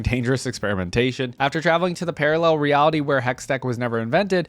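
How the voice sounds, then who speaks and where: A male reaction creator speaks at a brisk clip in a dry, close recording. He reads written material aloud in a casual way and drops in conversational remarks of his own. His pitch sits in an average range for a man. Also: no echo. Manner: conversational, interjects asides while reading. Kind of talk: reading a wiki aloud with casual commentary.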